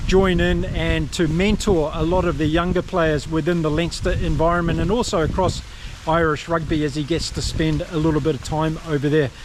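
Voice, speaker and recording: A man's voice: on the high side, background noise. A man with a New Zealand accent giving casual, upbeat rugby commentary straight to his viewers. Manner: chatty, upbeat, addresses viewers directly. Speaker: rugby vlogger. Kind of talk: casual solo commentary to camera.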